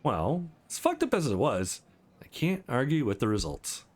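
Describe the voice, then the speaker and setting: A man's voice: mid-range voice, huge pitch swings, moderate pace, a little mellow. A man voicing a cocky, composed male employee with a dry, bantering manner in a visual novel.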